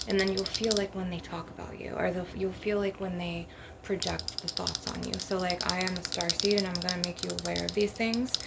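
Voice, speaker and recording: A woman's voice: fairly low pitch, fairly even intonation, a little fast, vocal fry. A woman giving a rambling, casual ASMR monologue as a spiritual healer, in an informal, meandering way peppered with filler phrases. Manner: informal, meandering, frequent "like" and "you know". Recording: loud clicking and tapping noise throughout. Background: crystal and glass tapping.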